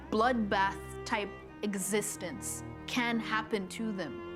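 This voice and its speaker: A young woman from Uganda, speaking earnestly and reflectively in a documentary interview. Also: mid-range voice. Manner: earnest, reflective, some hesitant restarts. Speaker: student interviewee. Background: music.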